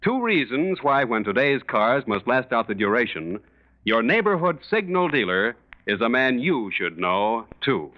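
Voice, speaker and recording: A man's voice: medium pitch, very animated, unhurried. A male radio announcer delivering a polished, emphatic scripted sponsor message in 1940s style. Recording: dull lo-fi 1940s radio recording.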